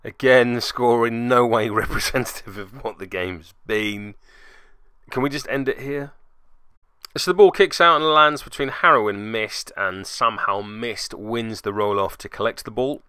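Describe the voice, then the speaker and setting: Male game narrator, wry and self-mocking, clear forceful delivery, dry close-miked sound. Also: medium pitch, moderate pace, dry recording. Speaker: host narrating a tabletop game battle report. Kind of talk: casual recorded commentary.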